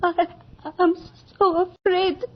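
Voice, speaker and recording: An actress playing a frightened young peasant seamstress in a radio horror drama, halting, tearful and pleading. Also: female voice, very high-pitched, level tone, a little hiss or hum. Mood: fearful, halting. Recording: dull lo-fi old radio recording.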